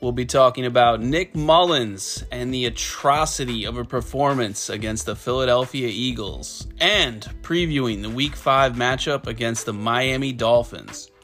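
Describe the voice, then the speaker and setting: A bright-sounding man hosts a casual football podcast, chatting easily with his wife. He talks in a relaxed way, with frequent ums and restarts. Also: medium pitch, normal speed, few pauses, faint music behind. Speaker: podcast host. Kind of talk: casual sports talk podcast.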